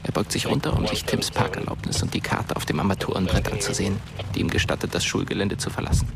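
Low gravelly brooding young storyteller. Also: male voice, steady tone, fast, heavy background noise. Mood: brooding, measured. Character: dead teenage boy narrating his friend's night, brooding. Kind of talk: scripted radio drama narration. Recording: music bed behind the voice. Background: loud atmospheric music.

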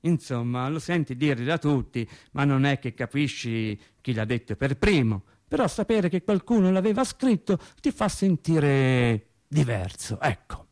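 A man's voice: mid-range voice, very animated, unhurried, few pauses, darkish tone. A man playing an earnest, rambling working-class factory worker in a theatrical radio monologue, talking colloquially and emphatically, repeating and interrupting himself. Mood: animated musing.

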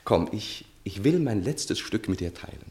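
Male actor as tipsy cynical young lover, low register, very lively intonation, weary then insistent, theatrical radio play. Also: moderate pace, close to an everyday voice. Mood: ardent. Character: weary, wine-drinking, restless young man, a cynical lover. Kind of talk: German radio play acting.

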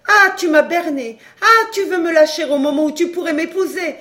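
A woman voicing a fiery, scorned mistress in a dramatised audiobook, loud, biting and indignant. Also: very high voice, moderate pace, voice pushed up. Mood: enraged.

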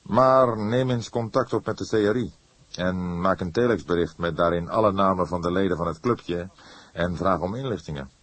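A man reading the part of an old police detective in an audiobook, patient and hesitant, with measured, probing questions. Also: low voice, husky, no room sound.

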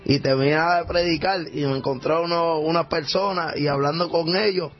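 Preacher guy with a high voice telling a story, lo-fi radio. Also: fairly even intonation, a little fast. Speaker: preacher guest. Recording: dull radio sound.

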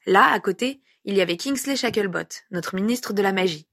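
Woman as a tough female officer, brusque, softened for a child, audiobook character. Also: medium pitch, brisk. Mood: softened, gentle.

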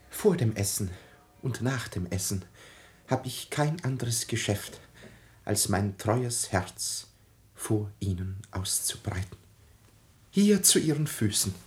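Very slow, florid lovesick suitor. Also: male voice, lowish voice. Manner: declamatory, savoring flowery phrases. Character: florid, smug, lovesick small-town suitor. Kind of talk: theatrical radio comedy acting.

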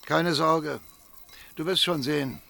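Commanding gravel-edged mafia boss. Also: male voice, on the high side, takes it slowly, heavily acted. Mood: stern, secretive. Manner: assured, quietly authoritative. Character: commanding mafia boss in hiding, seeking shelter. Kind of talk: radio crime drama acting.

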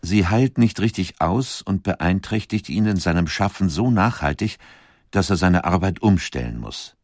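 Male narrator, very deep voice, dry studio sound, soft music under. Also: lively intonation, moderate pace, dry recording. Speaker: documentary narrator. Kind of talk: scripted narration.

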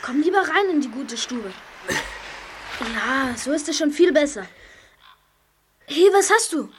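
Naive chubby-cheeked kid sidekick, high voice, easygoing, puzzled by everything; children's radio play, slight noise. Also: female voice, lively intonation. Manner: guileless, slow on the uptake. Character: naive, easygoing chocolate-loving schoolboy sidekick. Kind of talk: German children's audio drama acting.

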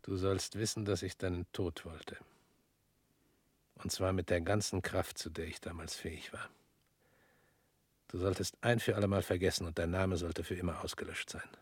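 An intense ideologue confessing. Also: male voice, low voice, fairly even intonation, normal speed, pauses often, slightly rough, no echo. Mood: cold confession. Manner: intense, shifting between hushed whispers and raised declamation. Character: former interrogator turned self-declared criminal, man, intense. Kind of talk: radio drama acting.

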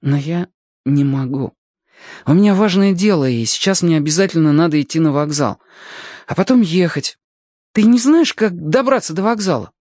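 Schoolboy for a storybook: polite, earnest, childlike, lifted pitch, dry studio closeness man acts him out. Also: animated, normal speed, darkish tone, dry recording. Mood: earnest, regretful. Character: polite, earnest young boy on a mission. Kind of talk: acted audiobook dialogue.

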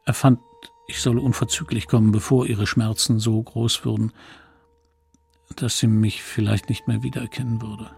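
A man playing a middle-aged narrator who wistfully recalls his childhood, measured and sombre, in a radio drama. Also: lowish voice, little pitch movement, vocal fry, a little mellow. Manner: measured, reflective, sombre storytelling.

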